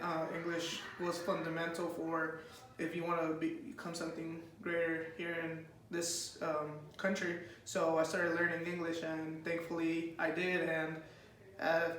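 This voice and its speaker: High-voiced young man, bright tone, echoey room. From United States. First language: Spanish. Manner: hesitant, many fillers. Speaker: interviewee. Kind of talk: casual interview answers. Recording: echoey room, far from the mic, faint noise.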